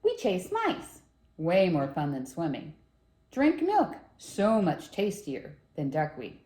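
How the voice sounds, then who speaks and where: A woman's voice: on the low side, huge pitch swings, takes it slowly, dark tone, echoey room, heavily acted. A woman voicing a playful, bossy talking cat from a children's storybook. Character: friendly talking cats schooling a confused duck.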